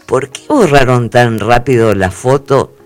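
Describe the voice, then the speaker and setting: A man's voice: medium pitch, faint music behind. A man hosting a radio talk show, telling news stories in a playful way full of asides. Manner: playful, drawn-out storytelling with asides. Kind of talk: chatty news segment.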